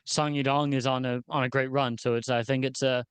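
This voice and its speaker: A man hosting a casual sports podcast, talking in a relaxed, easygoing way with some hesitant pauses. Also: medium pitch, clean tone, no echo. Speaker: sports show host.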